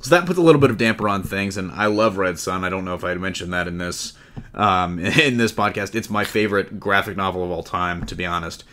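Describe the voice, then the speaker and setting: A man with a fairly low voice hosts a casual podcast. He talks through entertainment news in a chatty, enthusiastic fan's manner, steering the discussion. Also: fast, fairly bright tone. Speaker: podcast host.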